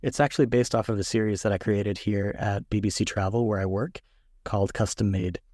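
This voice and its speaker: A man in his thirties, an author guest, answering interview questions in a relaxed storytelling way with frequent ums. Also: fairly low pitch, fairly quick, no room sound, studio quality.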